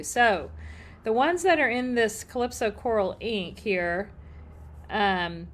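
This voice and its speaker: A middle-aged woman, slightly hoarse, chatting upbeat through a casual live crafting demo and greeting viewers by name. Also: mid-range voice. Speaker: paper-crafting demonstrator.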